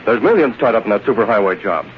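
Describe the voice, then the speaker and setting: A decisive American newspaper chief in a vintage radio adventure. He is businesslike and rapid-fire, with a mid-pitched voice that moves around a lot. The broadcast sound is muffled. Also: big pitch swings, slight background noise. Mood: determined. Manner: crisp, businesslike. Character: brisk, commanding newspaper publisher, decisive adult man. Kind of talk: 1930s-40s radio drama acting.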